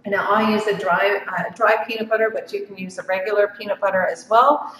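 A woman's voice: medium pitch, steady tone, a little fast, a bit bright. A woman giving a casual live cooking demonstration, chatty and full of ums and restarts. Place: home office kitchen. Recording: echoey room, from far off.

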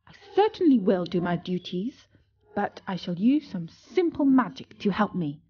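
A woman's voice: medium pitch, sing-song, normal speed, breathy edge. A cocky, eager apprentice boy from a storybook voiced by a woman, full of self-importance and easily flustered. The sound is slightly dull. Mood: smug.

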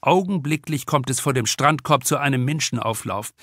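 A man narrating a comic crime novel with deliberate, expressive storytelling in a studio. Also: mid-range voice, dry recording. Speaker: author reading his audiobook.

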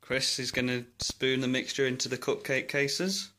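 A man's voice: mid-range voice, flat delivery, moderate pace, bright, forceful tone. Young Midlands man, jokey kitchen chat. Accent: English Midlands. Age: young adult. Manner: playful, bursts into laughter. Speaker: cooking vlog co-host. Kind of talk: casual jokey cooking chat. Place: small home kitchen. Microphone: camera mic on the counter. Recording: noisy home video.

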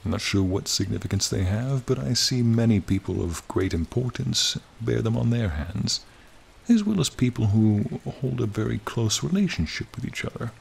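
A man voicing a charming, friendly ancient dragon in a soothing, formal, gently teasing ASMR roleplay. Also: on the low side, lively intonation, moderate pace, gravelly, a little hiss or hum. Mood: gracious, warm. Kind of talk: ASMR roleplay, slow intimate monologue. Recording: rain and cave ambience behind.

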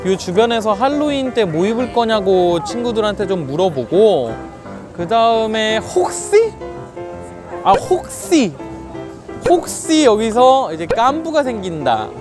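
Korean guy vlogging in a busy park, fast and bouncy, high voice, music under it. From South Korea. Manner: chatty, laughing, halting English with fillers. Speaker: street interviewer. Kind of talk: street interview vlog. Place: busy city park outdoors.

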